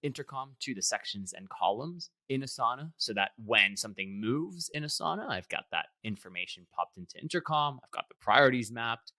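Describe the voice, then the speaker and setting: A man guides the listener through a tutorial in a conversational, explanatory way. His mid-pitched voice moves up and down a lot and has a bright, forceful tone. The sound is close and dry, with very little room. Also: lively intonation, a little fast, few pauses, no room sound. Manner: explanatory, step by step. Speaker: software product demonstrator.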